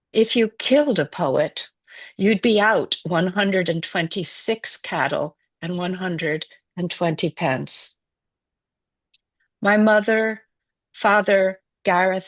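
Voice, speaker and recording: A woman from Wales whose first language is Welsh, giving a poetry reading in a measured, reflective way. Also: fairly low pitch, no room sound. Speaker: poet.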